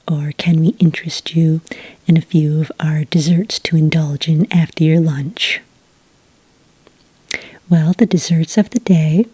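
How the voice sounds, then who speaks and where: A woman speaking very softly and breathily in an ASMR roleplay as a friendly cafe witch taking an order, gentle, unhurried and chatty, close to the microphone. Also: deep voice, dry recording, poor audio. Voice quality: breathy, whispery. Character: friendly, obliging witch serving at a quaint cafe. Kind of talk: soft-spoken whispered ASMR customer-service roleplay. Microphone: close, dry microphone.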